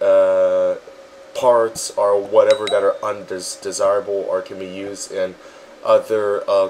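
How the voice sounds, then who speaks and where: A man narrating a casual walkthrough as a virtual world tour guide, relaxed and halting, with frequent ums. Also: lowish voice, a little hiss or hum.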